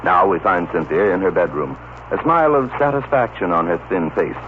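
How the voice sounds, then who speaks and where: A male announcer with a low voice narrates a radio soap in a measured, dramatic style. The recording is old, scratchy and narrow. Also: expressive.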